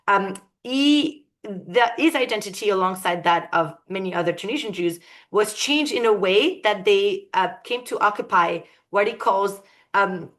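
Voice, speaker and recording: A woman giving an academic lecture, thoughtful and often pausing to search for her words. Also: mid-range voice, a bit bright.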